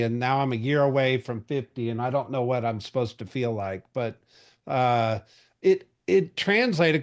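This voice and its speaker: A man in his forties hosting a real estate industry call, talking casually with lots of fillers and restarts. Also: medium pitch. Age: 40s. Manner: chatty, rambling, frequent fillers and restarts. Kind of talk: casual market update briefing.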